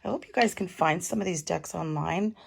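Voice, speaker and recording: Middle-aged woman with a cold, raspy and gravelly, low-pitched, chatting through a home tarot reading. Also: brisk, slight background noise. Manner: chatty, warm advice with fillers. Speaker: tarot reader. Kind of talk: casual tarot reading to camera.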